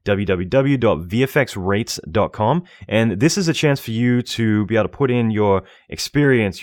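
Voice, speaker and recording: A male podcast host with a somewhat low voice, chatty and joking, who rushes through some phrases. He talks with his guest over a call. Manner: chatty, jokey, talks fast in bursts. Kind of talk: casual interview and promo read. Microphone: call microphone.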